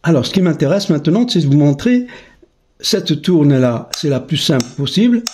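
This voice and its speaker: Higher-pitched man giving a casual music tutorial. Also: animated, normal speed, a little mellow, a little hiss or hum. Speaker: music teacher, channel host. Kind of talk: casual spoken tutorial demonstration.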